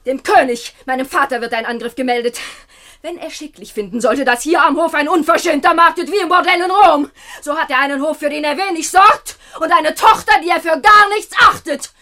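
Proud young princess, bright voice. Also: female voice, high voice, brisk. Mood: outraged, commanding. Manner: formal, dignified, declamatory. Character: proud, virtuous young princess, loyal wife. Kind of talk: classical German radio play verse acting.

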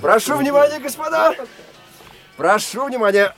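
A jovial older family friend with a very high voice for a man. He is talkative and fussy, repeating himself, in a radio drama with faint music behind. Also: expressive, normal speed. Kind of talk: radio play acting.

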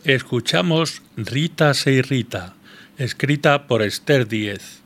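Male announcer, scripted delivery, slow pace, creaky mid-pitched voice. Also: expressive, soft background music. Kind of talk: scripted introduction and credits.

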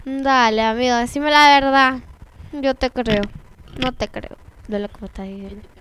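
A woman's voice: sits high, steady tone, moderate pace, fairly bright tone. A woman with a Rioplatense accent improvising as a nosy, suspicious young friend, insistent and chatty, in a drama exercise. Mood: suspicious, pressing. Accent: Rioplatense Spanish. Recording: clearly noisy amateur recording with clicks.

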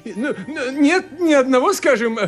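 An actor in a radio play voicing a nasal, creaky, fawning male island native who talks in an effusive singsong. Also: very high-pitched, lively intonation. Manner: obsequious, effusive, slow and drawn out.

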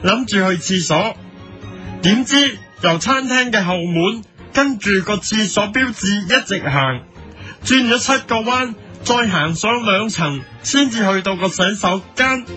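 A man's voice: sits high, expressive, measured pace, bright, forceful tone, faint music behind, exaggerated voice. A man playing a mock-serious, indignant television editorial presenter with a Hong Kong Cantonese accent, emphatic in a parody broadcast.

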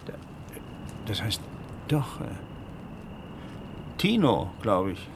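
A man's voice: fairly low pitch, very animated, slow pace, slight background noise, full character voice. An actor playing an uneasy working man who explains himself earnestly in a radio drama. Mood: uneasy, explaining. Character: uneasy male actor turned alarm installer.

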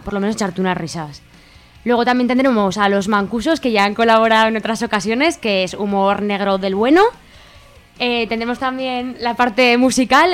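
A woman's voice: mid-range voice, fairly bright tone. A woman with an accent from Spain talking informally as a festival organizer in a radio interview. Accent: Spanish from Spain.

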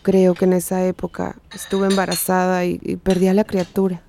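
An actress playing a gentle, resilient female survivor, speaking earnestly and confidingly in a radio drama. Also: fairly low pitch, completely flat delivery, fairly quick, gravelly. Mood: pained, recalling.

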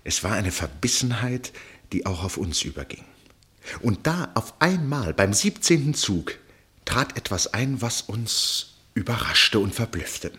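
The storyteller of a radio play, a keen observer relating a tense contest. His voice is very low and husky, and he builds suspense with pauses and drops to a hush at the ends of phrases. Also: sing-song, exaggerated voice. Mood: gripped, tense. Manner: suspenseful, dramatic pauses and hushed phrase endings. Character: male storyteller, keen fascinated observer of a chess match. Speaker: narrator. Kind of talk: literary radio play narration.

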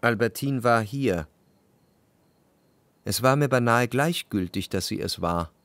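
An actor playing an anxious, introspective gentleman who narrates his obsessive love in a literary radio play, measured and reflective. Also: mid-range voice, lively intonation, no echo. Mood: anxious, brooding.

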